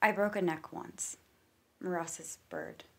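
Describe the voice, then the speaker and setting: An actress playing a guarded, frustrated sister in a stage drama. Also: female voice, lowish voice, huge pitch swings, slow, fairly bright tone, heavily acted. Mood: brooding, subdued. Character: guarded, frustrated older sister of an ambitious student. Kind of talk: stage-play dialogue acting. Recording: reverberant hall sound.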